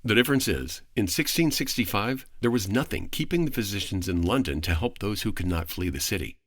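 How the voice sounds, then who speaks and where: A man speaking as a podcast guest, giving a prepared, articulate explanation in formal, measured phrasing. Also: fairly low pitch, animated, dry recording, studio quality.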